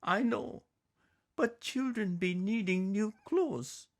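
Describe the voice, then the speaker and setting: A man voicing a young, practical immigrant mother, speaking halting broken English softly in a storybook reading. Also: high voice, animated, pitched above the performer's own voice. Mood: quietly defiant. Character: young immigrant mother, soft-spoken, practical, quietly defiant.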